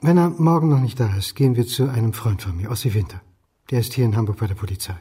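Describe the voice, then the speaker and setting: An actor playing a wry, sceptical history lecturer in a radio crime drama, with a dry, sardonic manner. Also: male voice, medium pitch, quick pace, mellow, slight background noise, lightly acted. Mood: calm, reasoning. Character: wry, sceptical male history lecturer turned amateur sleuth.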